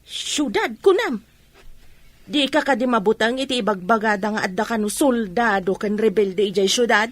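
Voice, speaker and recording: A woman's voice: a little high, animated, moderate pace. A woman playing a worried, scolding middle-aged rural mother in a radio drama, with an Ilocano accent and an admonishing manner. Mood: alarmed scolding. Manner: admonishing, emphatic.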